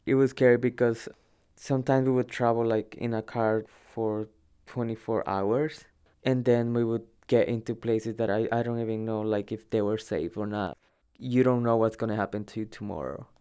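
A young adult man recounts his own experience in a conversational, slightly hesitant manner. His voice sits fairly low and sounds clear and smooth. He often doubles a word for emphasis as he goes. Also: fairly even intonation, normal speed, mellow. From El Salvador. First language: Spanish. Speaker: storyteller recounting own migration. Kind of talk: informal personal narrative.

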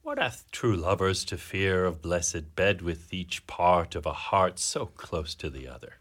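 A brooding, jealous warrior in a Shakespearean radio drama, played by a man. The voice is low and forceful, declaiming slowly with wide swings in pitch. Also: very animated, husky, heavily acted. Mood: brooding, ominous. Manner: slow, declamatory archaic delivery.

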